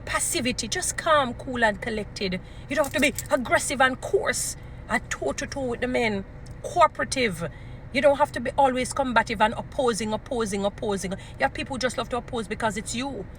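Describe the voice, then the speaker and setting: A woman's voice: a little high, fairly quick, a little hiss or hum, poor audio. A woman with a Jamaican accent and a strong voice, giving informal, emphatic advice in a preachy way, repeating short phrases for emphasis. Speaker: relationship advice speaker. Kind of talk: informal motivational advice talk.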